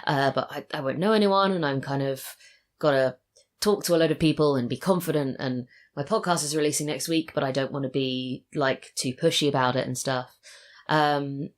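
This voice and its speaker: A woman's voice: rumbling low, steady tone, moderate pace. An English woman chatting casually as a podcast guest. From England. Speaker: podcast guest, audio drama writer and voice actor. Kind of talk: casual chat.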